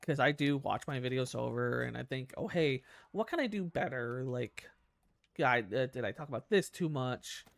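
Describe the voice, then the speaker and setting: A man's voice: mid-range voice, lively intonation, normal speed, gravelly, no echo. A man with a nasal voice, rambling in casual commentary over gameplay as a gaming YouTuber, swearing often and laughing at himself.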